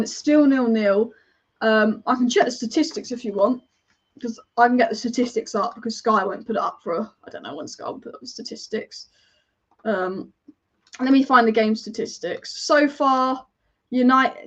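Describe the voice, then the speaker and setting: A woman's voice: a little high, highs cut off. A woman commentating a football match as a fan on a live watch-along, chatty and partisan, rambling and reacting to the play as it happens.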